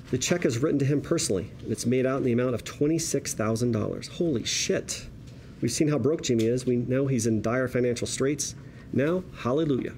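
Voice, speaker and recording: A man with a dark, mellow voice of middling pitch narrates a script. He reads the stage directions at a table read, with faint noise behind him. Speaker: narrator reading screenplay directions.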